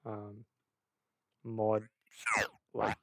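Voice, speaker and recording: A man giving an informal software tutorial, hesitant and thinking aloud as he explains. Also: on the low side, monotone, very slow, gravelly, dry recording, highs cut off. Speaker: tutorial host.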